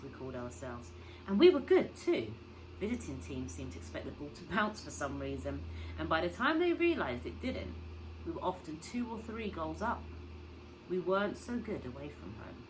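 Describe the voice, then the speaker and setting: Woman teacher reading a kids book out loud, low voice, stops to explain stuff, noisy background. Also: sing-song, moderate pace. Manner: explains words and story simply for children. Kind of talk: expressive storybook read-aloud with questions to young listeners.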